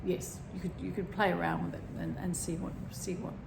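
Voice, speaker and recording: A woman with a slightly croaky voice, gently talking through a meditation and often pausing to find her words. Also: lowish voice, level tone. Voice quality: croaky, frog in throat. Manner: gentle, rambling, searching for words. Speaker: meditation teacher. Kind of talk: guided meditation talk. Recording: echoey room with background noise.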